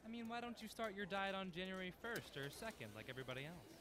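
A man's voice: sits high, big pitch swings, fast talker, noisy background. A man playing an eager young film fan in an audio drama, chatting in a friendly, teasing way. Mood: teasing, easygoing. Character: eager young male film fanatic, devoted son and friend.

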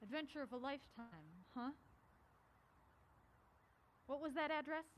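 Nervous exasperated young woman, radio play, really high swoopy voice. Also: animated, measured pace, a little hiss or hum. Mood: breathless relief. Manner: anxious, exasperated. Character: Alma, mild-mannered, exasperated young woman film buff. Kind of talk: audio drama acting.

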